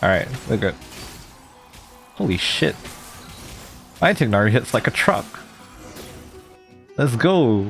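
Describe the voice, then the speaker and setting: A man's voice: mid-range voice, very animated, slow pace, creaky, pauses often, fairly bright tone. A man giving casual gameplay commentary as a streamer, reacting in a slangy, exclamatory way. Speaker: streamer playing and reacting to a game. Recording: game music faintly behind.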